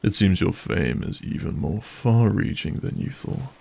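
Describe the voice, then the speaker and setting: A man playing a suave, menacing monster in a scripted audio drama, mock-courteous and theatrical with a gravelly, husky voice. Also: on the low side, very animated, a little slow, dark tone, full character voice. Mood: gloating. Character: suave, menacing male monster host, mocking and vengeful.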